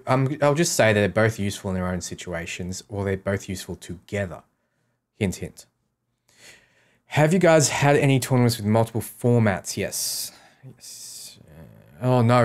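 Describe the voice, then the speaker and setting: A man's voice: lowish voice, animated, moderate pace. A man streaming a game, casually reading out questions and answering them offhand in short, repeated sentences. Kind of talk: casual livestream Q&A.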